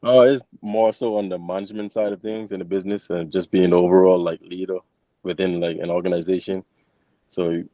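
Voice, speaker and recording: A young man answers interview questions casually and a little haltingly. His voice is low and fairly even in pitch. The recording is dry and slightly dull, lo-fi. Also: no echo. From Bahamas. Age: 20s. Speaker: interview guest, college football player. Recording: dull lo-fi close microphone.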